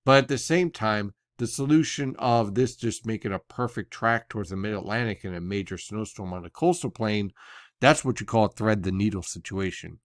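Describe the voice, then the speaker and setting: A man's voice: on the low side, no room sound, clean studio sound. An American man explaining a weather forecast in an analytical but conversational way, like a semi-casual briefing.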